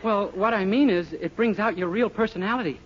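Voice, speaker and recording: An actor playing a naive, eager young clerk, guileless and deferential, in a 1940s radio sitcom. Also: male voice, high voice, fairly quick, natural voice. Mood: meek, sheepish. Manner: guileless, gee-whiz, deferential. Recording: muffled, very noisy 1940s radio recording.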